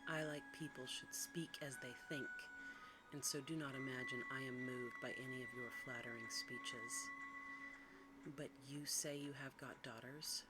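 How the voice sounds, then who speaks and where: The narrator voices a stern, threatening male beast in a hushed ASMR storybook reading, with blunt, formal old-fashioned speech. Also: mid-range voice, steady tone, a little slow, loud music behind the voice, natural voice, poor audio.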